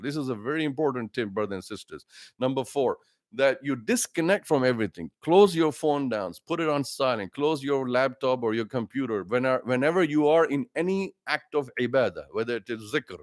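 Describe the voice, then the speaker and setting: A middle-aged man, an imam, giving an earnest livestreamed religious talk with numbered tips and pauses to greet viewers. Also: medium pitch, no echo, clean studio sound.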